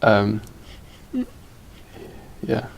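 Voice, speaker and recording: A man's voice: lowish voice, little pitch movement, extremely slow, creaky, a little hiss or hum. A man co-hosting a comedy podcast, casually improvising a story and piecing it together aloud with some hesitation. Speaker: podcast co-host.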